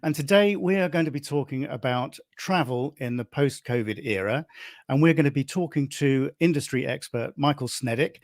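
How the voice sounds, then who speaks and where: A man's voice: mid-range voice, moderate pace, dry recording, clean studio sound. A middle-aged man hosting a live nature travel stream, rambling along with many restarts.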